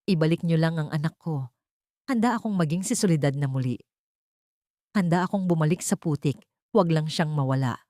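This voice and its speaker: An anguished, proud mother pleads in an audio story, acted out by a woman narrator. Her voice sits a little low. The sound is dry and close. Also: a little fast, long pauses, mellow, no echo, close to an everyday voice. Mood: desperate pleading.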